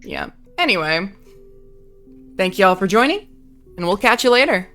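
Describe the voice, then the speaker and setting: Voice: mid-range voice, very animated, normal speed, fairly bright tone, soft background music. A streamer wrapping up a live game session in casual chat, talking freely with lots of "like" and swearing.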